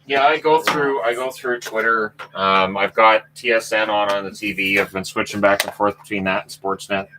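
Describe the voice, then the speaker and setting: A man's voice: fairly low pitch, steady tone, normal speed, hardly any pauses, rough recording. A Canadian man hosting a casual live chat about hockey news, rambling and self-mocking. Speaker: hockey channel host.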